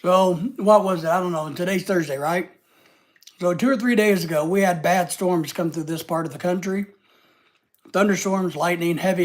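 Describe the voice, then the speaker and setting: A man's voice: fairly high pitch, creaky. A man hosting a casual live stream with a head cold, rambling and reading out viewer comments.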